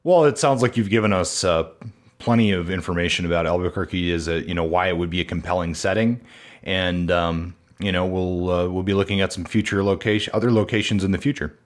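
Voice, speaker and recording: A man hosting a podcast, chatting in a relaxed, hesitant way with plenty of fillers. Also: sits low, fairly quick. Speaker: podcast host. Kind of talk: casual podcast conversation.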